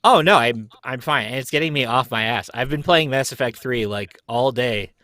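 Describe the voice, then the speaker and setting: A man's voice: medium pitch, normal speed, sharp tone. A man in his thirties chatting casually and telling stories in a rambling way, often with a chuckle. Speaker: podcast cast member. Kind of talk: casual chat. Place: basement.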